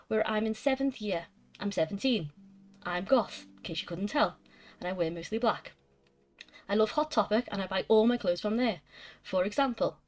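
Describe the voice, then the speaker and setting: A woman's voice: medium pitch, close to an everyday voice. Woman reading as a self-absorbed teenage goth vampire girl. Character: self-absorbed seventeen-year-old goth vampire girl narrating her diary. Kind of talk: reading amateur fan fiction aloud.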